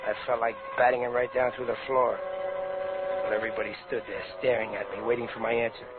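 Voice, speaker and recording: A man's voice: mid-range voice, level tone, quick pace, phone-quality sound, heavy background noise, natural voice. An actor playing a tough, slangy ex-con safecracker and father in a 1950s radio crime drama. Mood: brooding narration. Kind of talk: 1950s radio crime drama acting, first-person narration. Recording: band-limited old radio broadcast, music behind.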